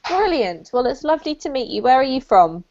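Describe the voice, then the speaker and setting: Upbeat high-voiced woman teaching online. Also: sing-song, brisk. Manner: bright, encouraging, upbeat. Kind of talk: friendly online class talk. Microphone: computer mic on a video call. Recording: dull lo-fi call audio.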